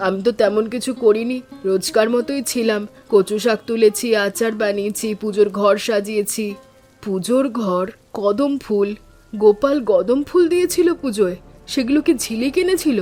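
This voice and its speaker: A woman voicing a caring, worried village aunt in an animated story, speaking tenderly and in a measured, homely way. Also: medium pitch, soft background music, lightly acted. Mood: troubled, reflective.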